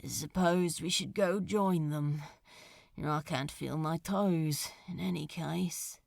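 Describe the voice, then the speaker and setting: A woman voicing a weary, injured, grimly practical male demon with a hoarse, gravelly voice. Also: low-pitched, steady tone, takes it slowly, darkish tone, dry recording, studio quality, voice pushed down, exaggerated voice. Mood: resigned.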